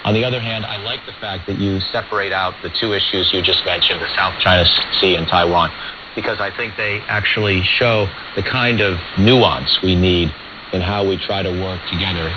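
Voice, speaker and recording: An American man giving measured, diplomatic, explanatory answers as a foreign policy expert in an interview. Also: deep voice. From United States. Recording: dull lo-fi radio relay with static noise.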